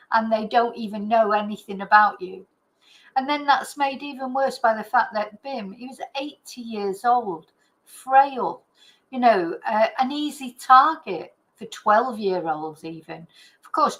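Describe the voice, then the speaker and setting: A woman's voice: on the high side, a bit bright, rough recording. A woman with an English accent giving her opinions in a casual, rambling and emphatic chat, as the host of her own show. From Britain. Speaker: true crime chat host.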